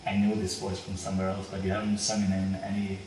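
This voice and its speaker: Male interviewer, German accent, lowish voice, hesitant phrasing. Heavily roomy sound with faint noise. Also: completely flat delivery, fast talker, darkish tone. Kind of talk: casual interview questions. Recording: very echoey room, distant mic, faint noise.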